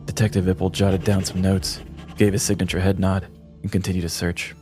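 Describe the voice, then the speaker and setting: Casting: male narrator, very deep and even voice, clear music underneath. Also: brisk. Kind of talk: scripted comedic narration.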